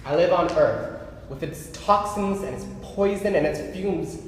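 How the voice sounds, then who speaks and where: A man's voice: high voice, measured pace. A man playing a jaded, exasperated middle-aged son in a staged play reading, weary, sardonic and dismissive. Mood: bitter, sardonic. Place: live staged reading in a reverberant room. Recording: very echoey hall, some background noise.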